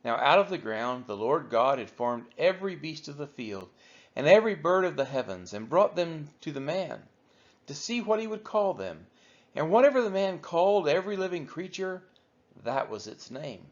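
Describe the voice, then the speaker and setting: A man reading a Bible chapter aloud for a church, measured and falling at the end of each phrase. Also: a little high, sing-song. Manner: measured, falls at phrase ends. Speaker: church scripture reader. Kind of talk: steady scripture reading. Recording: dull lo-fi audio.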